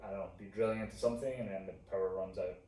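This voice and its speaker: Echoey, mellow-voiced Scottish man chatting. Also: sits low, fairly even intonation, racing. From Scotland. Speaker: co-host of a couple's vlog. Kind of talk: casual chat. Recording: echoey room, distant mic, faint noise.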